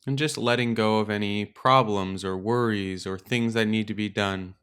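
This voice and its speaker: A man leading a guided meditation as a teacher, speaking in a calm, gentle, unhurried way with pauses. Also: on the low side, clear, resonant tone. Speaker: meditation teacher and podcast host. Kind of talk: guided meditation, slow and instructional.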